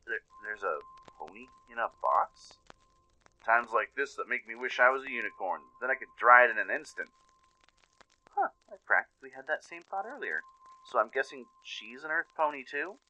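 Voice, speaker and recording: A man voicing a bewildered, sarcastic pony wanderer in an audio drama, grumbling in halting, exasperated bursts. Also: mid-range voice, very animated, sharp tone, voice pushed up, exaggerated voice, poor audio. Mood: baffled, sarcastic.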